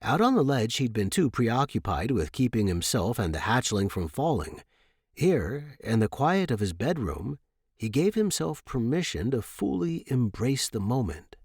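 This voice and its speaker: A man's voice: mid-range voice, big pitch swings, moderate pace, darkish tone, slightly rough, no room sound, clean studio sound. A man reading a short story aloud as a scripted narration.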